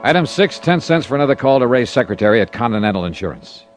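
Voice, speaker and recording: An American actor playing a brisk, wry insurance investigator who narrates his own case in a 1950s radio drama. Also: medium pitch, sing-song, lightly acted. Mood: brisk, matter-of-fact. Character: adult male insurance investigator, hard-boiled, brisk and wry. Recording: vintage radio broadcast with music.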